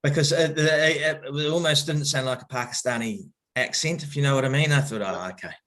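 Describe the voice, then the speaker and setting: A man's voice: medium pitch, a little fast, a bit bright. A middle-aged man with a New Zealand accent, telling his story in a podcast interview, hesitant and full of fillers and restarts but open and reflective. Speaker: guest, cricket coach.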